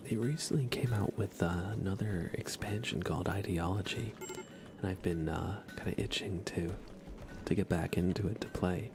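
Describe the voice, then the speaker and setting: A soft-spoken man hosting a relaxed ASMR gaming livestream, often dropping into a whisper. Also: deep voice, normal speed, background noise. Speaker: livestream host.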